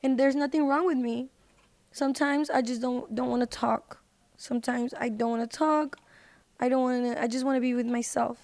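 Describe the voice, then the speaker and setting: A woman's voice: high voice, fairly even intonation, moderate pace, a little mellow. A woman guest on a podcast, chatting casually and informally, with lots of "like" and "you know". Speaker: podcast guest, singer and social media personality. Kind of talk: casual chat.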